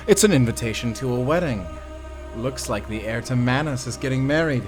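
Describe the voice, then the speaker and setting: An actor playing a cruel, gloating prison warden who taunts theatrically in an audio drama. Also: male voice, medium pitch, lively intonation, fairly quick, music in the background, close to an everyday voice. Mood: smug. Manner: mocking, theatrical, drawling.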